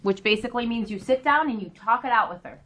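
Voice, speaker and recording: A woman acting as a patient but firm school counselor in an amateur role-play, speaking in a measured, coaxing way. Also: on the high side, animated, a bit bright. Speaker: school staff member counseling a student. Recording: roomy echo, distant microphone.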